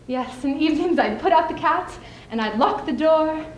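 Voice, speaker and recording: A young woman performing a stage monologue as a spirited, scheming widow, speaking emphatically with deliberate theatrical pauses. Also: high voice, animated. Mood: reflective, wistful. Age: young adult. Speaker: student speech team performer. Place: indoor school showcase stage. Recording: echoey room, clearly audible background noise.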